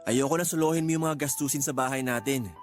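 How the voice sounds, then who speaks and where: Actor as gentle middle-aged working father, medium pitch. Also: fast, soft background music, natural voice. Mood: gentle, supportive. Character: supportive, teasing aging father, a construction worker. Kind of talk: Tagalog radio drama acting.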